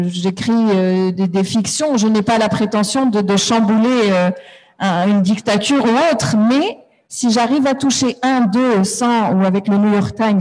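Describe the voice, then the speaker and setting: A woman's voice: mid-range voice, darkish tone, rough recording. A woman moderating a panel, addressing guests in turn and quoting their words back to them.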